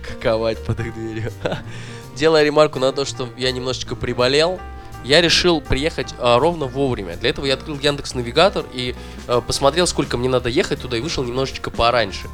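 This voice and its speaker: A man on a podcast who tells a story casually and swears often, worked up and ranting. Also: medium pitch. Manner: profane, animated ranting. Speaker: podcast co-host.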